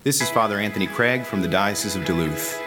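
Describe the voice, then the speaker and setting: A man's voice: fairly low pitch, quick pace. A man who is a priest, giving a sincere, reflective testimony in a recorded radio spot. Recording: spot with guitar music behind.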